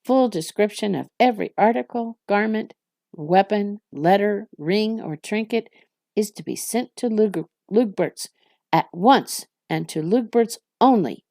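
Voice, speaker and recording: A woman's voice: mid-range voice, lively intonation, a little slow, darkish tone, no room sound. Growling orc captain, done by a woman reader, snarling, irritable, duty-bound, storybook villain, close dry sound. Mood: gruff, impatient. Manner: snarling, blustering.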